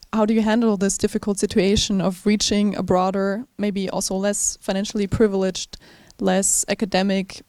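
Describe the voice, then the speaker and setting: A woman from Austria poses a formal, measured question from the audience. Her voice is mellow and stays flat in pitch. The sound is dry and close. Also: no room sound. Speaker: audience member asking a question. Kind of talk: prepared question.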